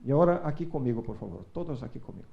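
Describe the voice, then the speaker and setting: A middle-aged man preaching a sermon in a church, speaking Spanish as a second language and swinging from quiet asides to loud rising calls to the congregation. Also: mid-range voice, animated, racing, vocal fry, lo-fi sound. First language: not Spanish. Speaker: guest preacher.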